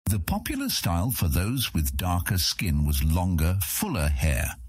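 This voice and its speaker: Deep, husky male audiobook narrator. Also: big pitch swings, unhurried, no room sound, clean studio sound. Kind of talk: scripted fantasy narration.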